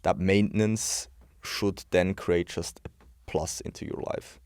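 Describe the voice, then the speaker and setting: A young man in his twenties talks frankly and bluntly, restarting sentences as he works out his view. His voice sits a little low, and the sound is close and dry, with no room echo. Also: steady tone, measured pace, darkish tone, smooth. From Switzerland. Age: 20s. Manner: frank, opinionated, thinks aloud with restarts. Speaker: guest, bodybuilding and health coach. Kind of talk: casual podcast interview.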